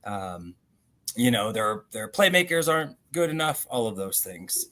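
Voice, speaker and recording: A man's voice: on the low side, very animated, unhurried, pushed and bright. Man co-hosting a casual sports talk. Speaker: co-host of a sports show. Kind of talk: casual sports discussion over video call. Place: remote video call.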